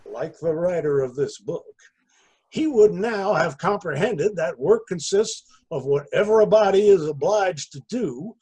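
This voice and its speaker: High, husky-voiced man reading a story. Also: dark tone. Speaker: story reader. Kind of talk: expressive literary narration.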